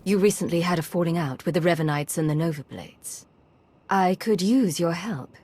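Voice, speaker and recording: A cool commander in a video game. She speaks in a fairly low voice, with terse, direct and controlled lines. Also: moderate pace, dark tone, slightly rough. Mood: cool, businesslike. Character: cool, businesslike female Imperial agent commander.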